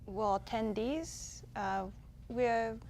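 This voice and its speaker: A woman who runs a music festival, answering TV studio interview questions in a measured, somewhat hesitant way. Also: fairly high pitch, creaky, a little mellow, a little hiss or hum. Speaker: festival executive director and musician.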